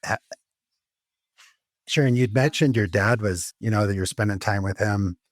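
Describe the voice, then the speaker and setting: A man's voice: on the low side, moderate pace, no room sound, studio quality. A man hosting an interview in a casual, conversational style. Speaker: interview host.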